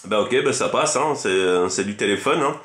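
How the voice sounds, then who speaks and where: A man's voice: fairly low pitch, animated, normal speed, fairly bright tone. A man in a casual CB radio chat, speaking in a rambling, repetitive way as a hobby operator. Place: indoors at a fixed home radio station. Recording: roomy echo around the voice.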